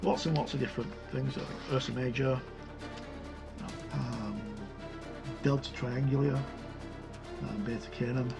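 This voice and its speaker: A man's voice: medium pitch, fairly even intonation, measured pace, vocal fry, pauses often. A man giving a casual, unscripted review as he leafs through a book, hesitantly listing items aloud. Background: music.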